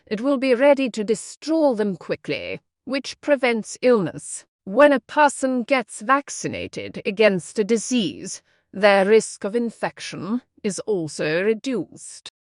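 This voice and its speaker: A woman reading practice passages aloud in a measured, scripted style. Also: medium pitch, sing-song, dry recording, studio quality. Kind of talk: measured read-aloud of exam texts.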